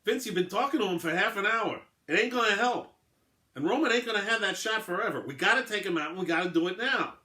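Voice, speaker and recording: A gruff police lieutenant barking loud, impatient orders, as a male reader puts him on. The voice is raised and pushy, bright-edged. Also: sits high, fast talker, reverberant, pitched above the performer's own voice.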